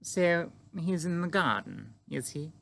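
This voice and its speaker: A man voicing a regal older Queen in an audiobook, with an imperious, deliberate and commanding manner. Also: a little high, animated, vocal fry, a bit bright, pitched above the performer's own voice, full character voice. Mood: composed, amused. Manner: imperious, drawn-out deliberate speech. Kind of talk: audiobook character acting.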